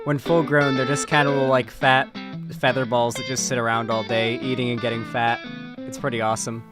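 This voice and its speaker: A man's voice: medium pitch, level tone, brisk. A man hosting a livestream, talking in a casual, informal and chatty way. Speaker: livestream host. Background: background guitar music.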